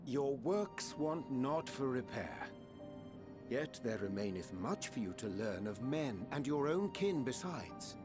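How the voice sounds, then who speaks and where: An actor playing a calm, scholarly man in a fantasy video game, speaking in formal, eloquent, archaic phrases. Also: medium pitch, slow, over music. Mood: solemn, instructive.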